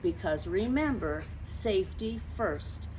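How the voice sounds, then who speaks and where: A woman reading a picture book aloud to young children, chatting to them directly with questions and gentle reminders in a teacherly way. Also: medium pitch, animated, slow pace. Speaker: story time reader for children. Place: outdoors near lawns being mowed. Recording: outdoor noise, mower and birds.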